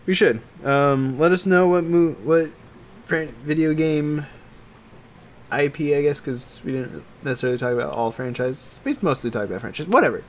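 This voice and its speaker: A man's voice: a little high, moderate pace, slight background noise. A man co-hosting a casual podcast chat, rambling and hedging, with "like" peppered through his sentences. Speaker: podcast co-host. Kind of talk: casual chat about games and films.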